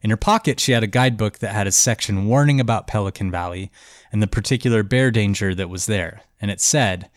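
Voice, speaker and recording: A man's voice: lowish voice, brisk, no room sound, studio quality. A man hosting a podcast who is congested and tells a story in a loose, chatty way full of "like" and "um". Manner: loose and chatty, with many "like" and "um" fillers. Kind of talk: casual storytelling chat.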